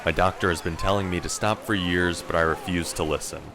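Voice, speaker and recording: A low-pitched man acting as a deadpan, doomed working stiff in a comic mockumentary. He states absurd, bleak things in a matter-of-fact way, with background noise around him. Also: fairly quick. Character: deadpan dying man who drinks seawater for work. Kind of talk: mockumentary interview and narration.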